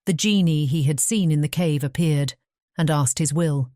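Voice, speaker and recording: A woman reads storybook narration in a notably low register. Her tone is mellow and rounded, suited to a scripted fairy-tale audiobook. The recording is close, with no audible room. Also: moderate pace, dry recording.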